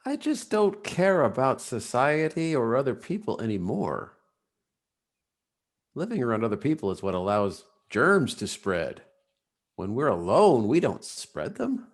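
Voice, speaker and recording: A man's voice: mid-range voice, very animated, unhurried. An actor playing a jaded, withdrawn man who has given up caring, speaking emphatically in a play reading over a video call. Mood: defiant apathy. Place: live Zoom video call.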